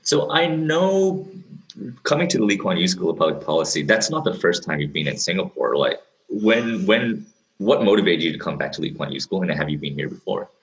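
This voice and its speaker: A man's voice: deep voice, very animated, brisk, highs cut off. A man co-hosting a podcast, asking questions in a casual, familiar, conversational way. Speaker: podcast co-host interviewer.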